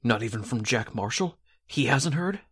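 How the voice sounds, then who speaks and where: A man playing an earnest, indignant theatrical producer in a 1940s-style radio drama, formal and husky. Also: mid-range voice, lively intonation, moderate pace, fairly bright tone. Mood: uneasy.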